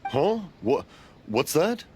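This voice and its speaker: A man's voice: on the high side, sing-song, takes it slowly, a little mellow, a little hiss or hum. An actor playing a jovial, hard-of-hearing old father and proud fireworks craftsman who rambles and gets confused in a video game. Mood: confused, cheery. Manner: rambling storyteller, easily confused. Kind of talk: English video game voice acting.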